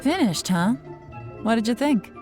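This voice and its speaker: A woman's voice: on the high side, lively intonation, a little slow. A woman playing a confident, sly woman in charge in a video game, cool and self-assured. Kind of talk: video game character acting. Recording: game music playing behind.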